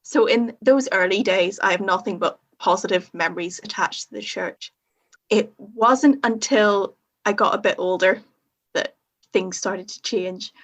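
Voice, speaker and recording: Husky young Northern Irish woman. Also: mid-range voice, measured pace. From Northern Ireland. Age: young adult. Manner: frank, self-mocking, laughs at own story. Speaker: podcast guest. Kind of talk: casual conversation.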